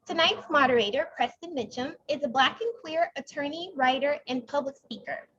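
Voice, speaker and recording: A woman's voice: sits high, moderate pace, fairly bright tone, muffled recording. A young woman reading a formal scripted welcome and introduction as the chair of an online event. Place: indoors, online video meeting.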